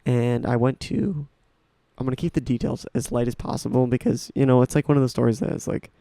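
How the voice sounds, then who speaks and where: A man's voice: medium pitch, fairly even intonation, brisk, vocal fry, mellow, no room sound. A man of nineteen hosting a podcast, talking casually and enthusiastically with frequent restarts. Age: young adult. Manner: rambling, enthusiastic, restarts often. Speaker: podcast host. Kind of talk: casual solo talk about music.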